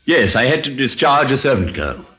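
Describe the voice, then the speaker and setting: An actor playing a smooth, flirtatious, deceitful husband in a radio drama. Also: male voice, mid-range voice, big pitch swings, normal speed, pushed and bright. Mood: smoothly evasive. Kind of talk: old-time radio drama acting.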